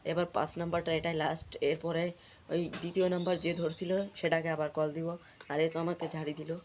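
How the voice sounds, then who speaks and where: A man's voice: a little high, flat delivery, brisk, a little hiss or hum. A man with a Bangladeshi accent chatting informally to viewers as a video host, with repetitive running commentary. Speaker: prank-call video host. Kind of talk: casual vlog chat to viewers.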